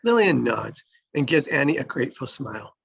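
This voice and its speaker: Male stage-directions reader for a screenplay table read, creaky and husky, close dry sound. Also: medium pitch, big pitch swings, brisk, a bit bright, no echo.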